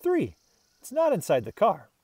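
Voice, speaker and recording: An earnest young storybook girl, played by a man, working things out methodically. The voice is raised and very lively in pitch, with an orderly detective's manner. It is recorded dry. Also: normal speed, slightly rough, no echo, heavily acted. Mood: puzzling it out. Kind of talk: children's storybook character dialogue.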